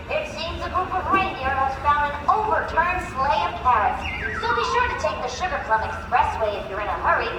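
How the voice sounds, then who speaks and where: A woman's voice: sits high, noisy background. A woman playing a perky, merry North Pole elf who hosts a radio show with exaggerated announcer cheer. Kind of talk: scripted comic radio broadcast. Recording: echoey, distant, music behind.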